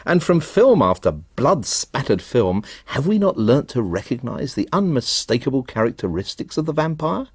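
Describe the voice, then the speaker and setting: A man's voice: medium pitch, sing-song, slightly rough, no echo, studio quality. A man presenting a radio arts documentary in dramatic scripted narration. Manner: dramatic, slow-paced narration.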